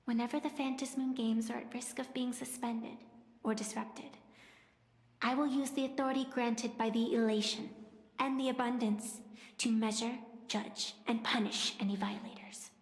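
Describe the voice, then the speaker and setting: A woman's voice: fairly high pitch, steady tone, normal speed, lightly acted. A woman voicing an ancient, dignified immortal priestess in a video game, formal and stately. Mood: stern, indignant. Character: ancient, dignified immortal priestess presiding over ritual games. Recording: echoing hall-like reverb.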